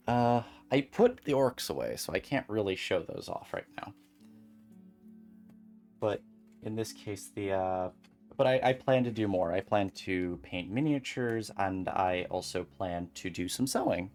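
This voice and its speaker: A streamer chatting casually and calmly while beading at a home desk, rambling gently with many fillers. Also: sits low, measured pace, soft background music. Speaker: crafting streamer.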